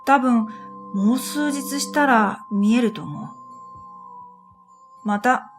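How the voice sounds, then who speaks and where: A woman's voice: on the high side, slow pace. A woman narrating a scripted first-person story for a radio drama in an introspective, matter-of-fact manner. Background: music.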